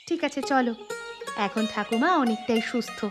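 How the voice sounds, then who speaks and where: A woman voicing a caring, dutiful young village woman in a cartoon, talking briskly and politely. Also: fairly high pitch, over music, natural voice. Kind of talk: cartoon story dialogue.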